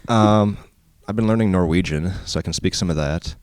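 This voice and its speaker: A man's voice: sits low, moderate pace, darkish tone, no echo. A man chatting casually as a guest, rambling with self-deprecating asides and frequent restarts. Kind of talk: casual chat.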